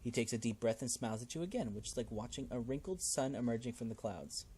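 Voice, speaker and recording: A man's voice: mid-range voice, expressive, fast talker, warm tone, slightly rough. A man reading a game's text aloud as narration, with casual commentary of his own.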